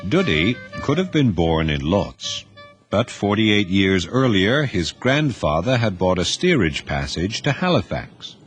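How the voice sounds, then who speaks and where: A man narrating an audio drama in expressive, scripted storytelling. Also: fairly low pitch, unhurried, over music.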